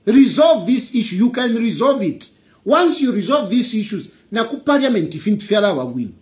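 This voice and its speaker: A man's voice: high voice, big pitch swings, normal speed, darkish tone. A young Zambian man with a Zambian accent giving an emphatic political commentary indoors, repeating his key phrases and slipping into a local language. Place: indoor room.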